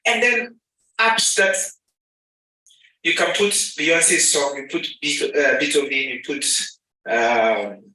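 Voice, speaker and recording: Ghanaian man giving a lively talk, very bright tone, booming hall, mic set back. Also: takes it slowly. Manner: animated, acts out dialogue. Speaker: speaker. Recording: echoey hall, mic at a distance.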